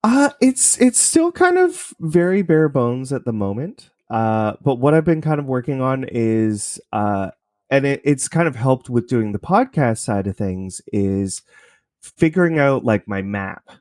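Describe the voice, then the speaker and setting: A man's voice: mid-range voice, very animated, a little slow, a little mellow, dry recording, studio quality. A man from Canada talking casually and informally as an interview guest, thinking aloud as he explains his creative work.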